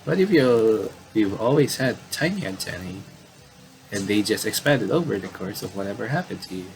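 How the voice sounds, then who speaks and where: A man's voice: on the low side, expressive, normal speed, slight background noise, rough recording. A man doing casual game commentary, reading visual novel dialogue aloud and often stumbling and repeating phrases.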